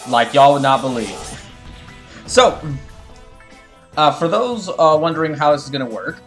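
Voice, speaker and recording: A man talking casually to his livestream chat as a game streamer, halting and rambling as he corrects himself. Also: a little high, animated, fairly bright tone, soft background music.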